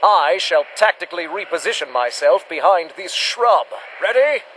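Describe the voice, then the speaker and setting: An actor playing a bombastic, self-important but cowardly monster hunter in an old-fashioned radio adventure serial, proclaiming theatrically. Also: male voice, sits high, lively intonation, normal speed, fairly bright tone, slight background noise, rough recording. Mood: boastful, commanding. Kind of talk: 1940s-style radio adventure serial acting.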